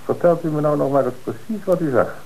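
An actor playing a police inspector who questions a suspect in a measured, dryly ironic way in a radio play. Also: male voice, a little high, dark tone, slight background noise, lightly acted, poor audio. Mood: accusing. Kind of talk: radio drama acting.

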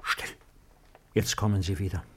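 A man acting a broken, dying fugitive emperor, haunted and hushed, in a 1950s German radio drama. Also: low voice, fairly even intonation, normal speed, natural voice. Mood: haunted, fearful. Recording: hissy, clicky 1950s radio recording.

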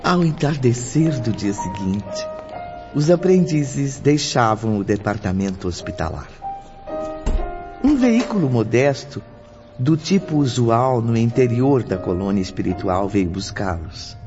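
A man's voice: mid-range voice, very animated, a little slow. A Brazilian man narrating a radio drama in a solemn, literary storytelling manner. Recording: dull lo-fi, music behind. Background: prominent background music.

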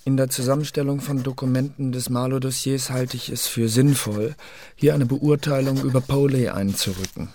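An orderly male archivist played by an actor presents documents in a formal, matter-of-fact tone. His medium-pitched voice has faint noise of clicks and writing behind it, as in a radio play. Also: fairly even intonation, moderate pace, dark tone, natural voice. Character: orderly male secret service archivist compiling a dossier. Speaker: dossier compiler, narrator.

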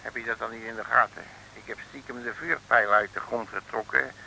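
An actor playing a sly, cheeky detective in an old Dutch comic radio serial, talking slangy mock pidgin as a pretend mandarin. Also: male voice, medium pitch, steady tone, fairly quick, vocal fry, sharp tone, slight background noise, rough recording. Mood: sly, muttering.

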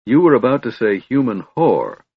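A man's voice: medium pitch, sing-song, moderate pace, husky, dry recording, phone-quality sound, pitched above the performer's own voice. A man voicing a proud young elven woman, defiant and wounded, speaking with intense emotion in a fantasy audiobook. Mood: hurt, stunned.

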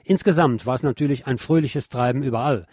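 A man's voice: medium pitch, fast talker, darkish tone, slight background noise. A man reading scripted radio news and commentary in a wry, sardonic manner. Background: guitar music.

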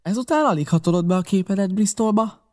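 A man voicing a naive, eager young male sailor in an audiobook, speaking in a raised, excited way. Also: sits high, no echo, studio quality, lightly acted. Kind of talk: audiobook character reading.

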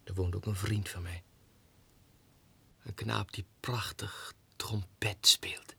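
An actor playing an unhinged, excitable low clerk who mutters haltingly to himself in a 1960s radio monologue. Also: male voice, vocal fry, husky, exaggerated voice. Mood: brooding.

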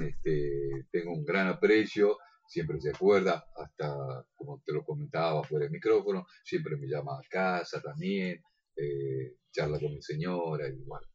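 An Argentine man with a low, husky voice co-hosts a radio show. He speaks courteously and agreeably, with a formal touch, and the recording is a bit muffled. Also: a little mellow. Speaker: radio co-host. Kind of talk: casual radio chat.

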